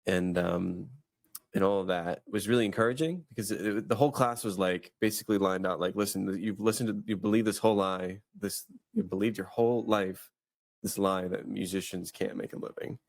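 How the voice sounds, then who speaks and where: Very deep gravelly-voiced man, casual podcast. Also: steady tone, fairly quick, no echo. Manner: rambling, many restarts and fillers. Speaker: podcast host. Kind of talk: casual chat.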